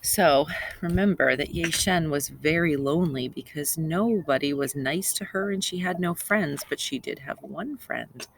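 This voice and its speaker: A woman's voice: low voice, moderate pace, vocal fry, hardly any pauses, a little hiss or hum, rough recording. A woman reading aloud to young children as a teacher, explaining simply and repeating key points. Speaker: second grade teacher.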